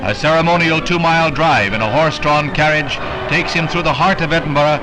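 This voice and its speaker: A man's voice: fairly high pitch, fairly quick, poor audio. An American man narrating a scripted 1960s newsreel in a polished, declamatory announcer style. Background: prominent background music.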